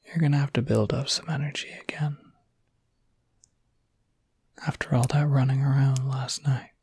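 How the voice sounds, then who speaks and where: A man playing a gentle, easygoing werewolf caretaker in an ASMR roleplay, whispering softly and soothingly in a halting, intimate way. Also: medium pitch, little pitch movement, no room sound. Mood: caring, reassuring. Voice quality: breathy, soft whisper.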